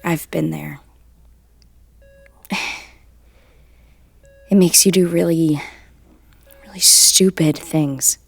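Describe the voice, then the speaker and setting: Deadpan female friend, slow delivery, heavy creak, curt, blunt, quietly caring, audio drama. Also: deep voice, no room sound, full character voice. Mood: subdued, caring. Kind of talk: naturalistic audio drama dialogue.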